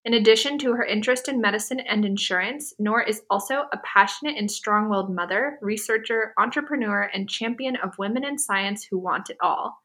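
A woman's voice: mid-range voice, fairly quick, few pauses, fairly bright tone. A woman reading a scripted, upbeat introduction of a guest.

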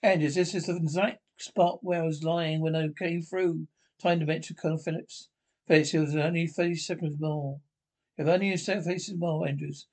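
High-pitched man haltingly reading a script. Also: steady tone, darkish tone. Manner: halting, stumbling over words and repeating them; reads speaker names aloud along with the lines. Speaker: amateur script reader. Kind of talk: reading a radio drama script aloud.

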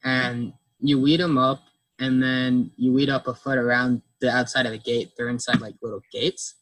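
Husky young man, casual chat. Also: medium pitch, little pitch movement, a bit bright, dry recording, rough recording. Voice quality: trouble with R sounds. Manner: halting, repeats words, plain. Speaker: farm volunteer.

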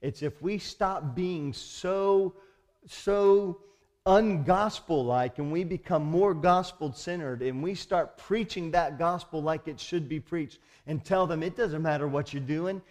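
A man delivers a sermon from the pulpit in an emphatic, rousing manner. His voice sits a little higher than most men's, and he keeps turning to the congregation with rhetorical questions. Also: darkish tone. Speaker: pastor.

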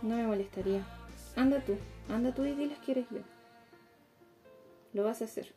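Young woman playing troubled chilean girl in a radio play, high plain voice, music behind her. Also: moderate pace, mellow, lightly acted. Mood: pleading. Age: young adult. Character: troubled, plain-spoken young girl weary of death wishes. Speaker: student performer. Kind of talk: radio theatre acting.

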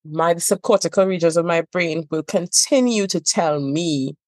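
A woman's voice: lowish voice, normal speed, darkish tone, dry recording. A woman from Trinidad and Tobago with a Trinidadian accent, a therapist guest speaking emphatically with rhythmic repetition in a podcast conversation.

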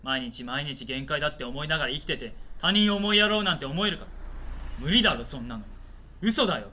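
A man playing a cornered, resentful male commuter in a radio drama, talking in rough slang, whining and belligerent as he defends himself. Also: fairly high pitch, expressive, a little fast, sharp tone, a little hiss or hum. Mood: bitter, desperate. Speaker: actor in an amateur radio drama. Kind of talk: naturalistic radio drama dialogue.

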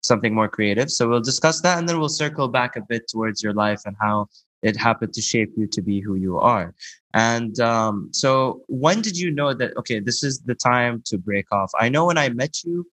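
A man hosting a conversational podcast interview, speaking in a somewhat halting, hesitant way. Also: medium pitch, fairly bright tone, dry recording, rough recording. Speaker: podcast host.

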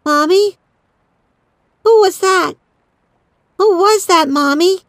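A man's voice: very high voice, slow, a bit bright, no room sound, clean studio sound, voice pushed up, full character voice. A man voicing a frightened small girl in a horror story, timid and questioning. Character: frightened small girl in pajamas, pleading with mother.

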